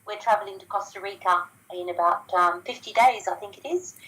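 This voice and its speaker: A woman's voice: mid-range voice, brisk, fairly bright tone, poor audio. An Australian woman talking casually as an interview guest, chatty, with frequent ums and restarts. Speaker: guest sharing business results.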